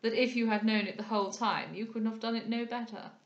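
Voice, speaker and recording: Woman playing a sneering, ironic gentleman. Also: a little high, steady tone, quick pace, reverberant, muffled recording, close to an everyday voice. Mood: sardonic. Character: dry, sarcastic gentleman. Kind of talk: dramatised audiobook character voice.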